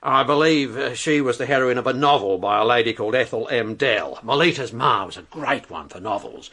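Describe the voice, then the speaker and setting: An actor playing a blunt, breezy Australian businessman and talkative husband in a radio drama, with a husky voice. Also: mid-range voice, expressive, fairly quick, pushed and bright. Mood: breezy, chatty.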